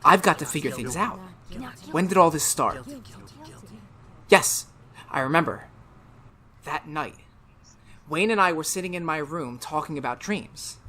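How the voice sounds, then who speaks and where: A man's voice: a little high, lively intonation, measured pace, pauses often, pushed and bright. A man playing a tormented, anxious teacher in 1930s-style radio drama, with an intense, melodramatic delivery. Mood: anguished. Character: tormented male biology teacher, haunted and anxious.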